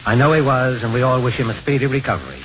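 A man's voice: mid-range voice, rapid-fire. A man presenting as host in a scripted 1950s radio style. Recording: muffled, faintly noisy vintage radio.

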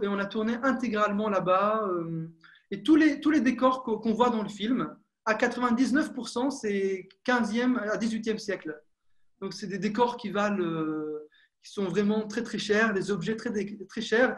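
A young French man with a high voice answers questions on a video call, stumbling over his words and filling pauses with hesitations. He talks through an earphone mic from a small room at home. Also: fairly bright tone. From France. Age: young adult. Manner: hesitant, many fillers and restarts. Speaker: film director.

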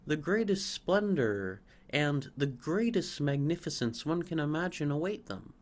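A flock of know-it-all sparrows, put on by a male reader, chatter in a high, chirpy voice. They talk eagerly and boastfully, lifting each phrase. It is a storybook reading. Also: lively intonation, measured pace. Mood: excited.

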